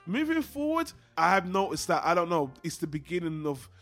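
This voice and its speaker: High-voiced, fast-talking man hosting a podcast. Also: big pitch swings, a bit bright, soft background music. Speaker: podcast host. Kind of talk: casual film discussion podcast.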